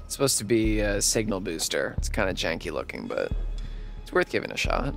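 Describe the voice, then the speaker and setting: A rambling young camp counselor. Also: male voice, lowish voice, sing-song, vocal fry. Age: young adult. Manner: rambling, halting with many fillers. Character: young male camp counselor, nerdy radio tinkerer. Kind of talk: video game voice acting. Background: music.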